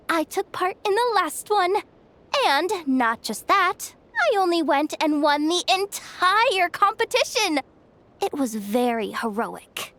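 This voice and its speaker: Very high-voiced, boastful young champion girl. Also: fairly bright tone, dry recording, heavily acted. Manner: showy, self-important, theatrical. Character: boastful, excitable young female reigning tournament champion. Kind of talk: video game voice acting.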